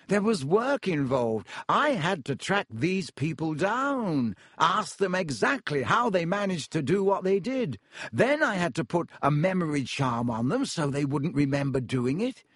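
A man voicing a vain, boastful wizard teacher who talks down to everyone in a smooth, self-satisfied way. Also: fairly high pitch, sing-song, unhurried, fairly bright tone, no room sound, studio quality, voice pushed up, heavily acted. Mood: smug. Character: vain, pompous fraud of a male wizard teacher.

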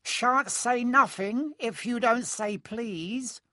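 A man's voice: very high voice, takes it slowly, sharp tone, dry recording, clean studio sound, voice pushed up, heavily acted. A man voicing a mischievous poltergeist in an audiobook, with gleeful, teasing, sing-song baby talk. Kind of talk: audiobook character voice.

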